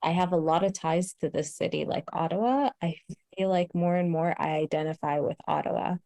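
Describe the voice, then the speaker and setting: A woman's voice: lowish voice, fairly quick, vocal fry, mellow, no echo. A young Canadian woman hosting a podcast conversation, speaking thoughtfully with many fillers and restarts. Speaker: host. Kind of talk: casual conversation.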